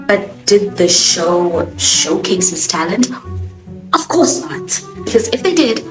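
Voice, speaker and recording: A young Swahili-speaking woman with a Johannesburg accent hosts a casual, opinionated review, punching her words with animated emphasis. Her voice sits in a middle range and rings in an echoey room. Music plays clearly behind her. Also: medium pitch. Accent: South African (Johannesburg). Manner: expressive, sassy, animated emphasis. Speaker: TV show reviewer, host.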